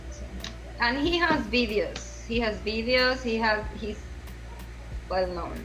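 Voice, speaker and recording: A woman's voice: a little high, animated, slow pace, fairly bright tone, faint music behind, low-quality recording. A woman from Venezuela with a Venezuelan Spanish accent, speaking as a podcast guest in an earnest, word-searching way. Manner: earnest, repeats and restarts phrases while searching for words. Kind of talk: conversational interview.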